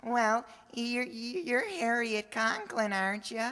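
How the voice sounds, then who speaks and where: A woman's voice: on the high side, lively intonation, slow pace, fairly bright tone. A woman playing an eager, awkward teenage schoolboy in a radio sitcom, with gee-whiz boyish phrasing. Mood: puzzled. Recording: echoey hall, long reverb.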